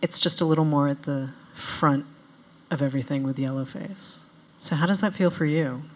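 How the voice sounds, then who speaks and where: A woman's voice: deep voice, normal speed. A woman hosting an interview, asking questions in a chatty, playful way. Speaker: interviewer, host.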